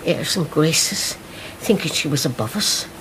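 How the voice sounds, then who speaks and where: A woman's voice: low-pitched, lively intonation, unhurried, background noise. An actress in a radio drama playing an elderly woman, a former mill worker who reminisces wistfully and bitterly. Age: older. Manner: reminiscing, drifting into song. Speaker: Missus O'Hare.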